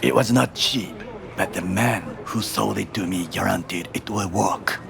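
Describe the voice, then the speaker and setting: An actor playing a stern, disciplined older bodyguard in a video game, speaking formally and precisely with a Japanese accent. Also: male voice, sits low, unhurried, vocal fry, noisy background, full character voice. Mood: measured, serious. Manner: formal, clipped, uncontracted phrasing. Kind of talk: video game cutscene acting.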